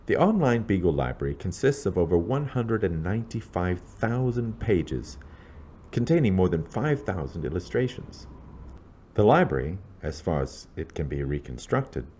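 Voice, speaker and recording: A man reading scripted narration aloud in a measured, scholarly, documentary-like way. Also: sits low, sing-song, mellow, breathy edge.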